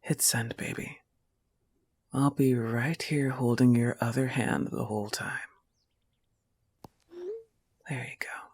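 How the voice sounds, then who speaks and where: A woman's voice: rumbling low, husky, full character voice. A woman voicing a protective, affectionate young goth wolf-girl girlfriend in an intimate audio roleplay, coaxing and reassuring the listener with a firm manner.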